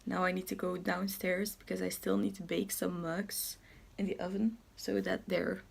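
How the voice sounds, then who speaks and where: A young woman in her twenties with a slightly raspy just-woken voice and hiccups, chatting casually while showing gifts, recorded on a handheld camera. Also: fairly low pitch, level tone, normal speed, roomy sound. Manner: chatty, self-narrating while wrapping gifts. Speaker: vlogger. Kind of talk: casual vlog chat. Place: home kitchen and living room.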